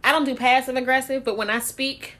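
Blunt, laughing woman with a high voice. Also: fairly even intonation, brisk, pushed and bright. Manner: candid and blunt, swears freely, laughs often. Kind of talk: casual podcast chat.

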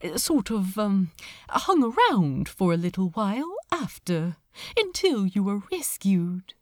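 A gentle male angel from a storybook, acted out by a woman. He speaks softly and slowly, with wistful affection, and often slips into a hushed whisper. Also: mid-range voice, very animated, dry recording, studio quality. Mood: cheerful, casual. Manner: soft, often whispered, hesitant.

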